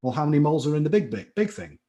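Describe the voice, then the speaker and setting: Chatty Scouse chemistry tutor. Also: male voice, on the high side, sing-song, racing, dark tone. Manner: chatty, encouraging, reads out chat names. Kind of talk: live online class teaching.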